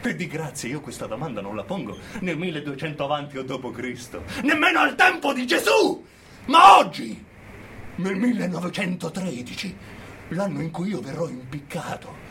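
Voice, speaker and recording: Defiant death-row convict, high voice, scornful theatrical declamation, radio drama, clearly noisy recording. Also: male voice, sing-song, moderate pace. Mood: furious, accusing. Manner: declamatory, scornful, swinging from hushed narration to shouting. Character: defiant condemned male prisoner, bitter, mocking his jailers. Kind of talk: theatrical monologue, radio play.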